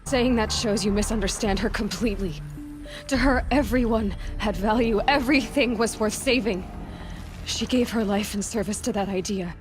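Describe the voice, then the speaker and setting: A woman's voice: mid-range voice, moderate pace, natural voice. A woman playing a passionate, idealistic young heroine in a dramatic video game, with music behind her. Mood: firm, reproachful.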